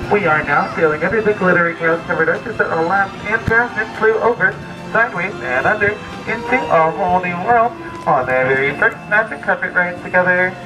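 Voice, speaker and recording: A man working as a boat ride guide, narrating a scripted storytelling tour with theatrical, drawn-out emphasis on key words. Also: high-pitched. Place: outdoors aboard a tour boat. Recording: distant amateur recording with loud background music. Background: music and ride ambience.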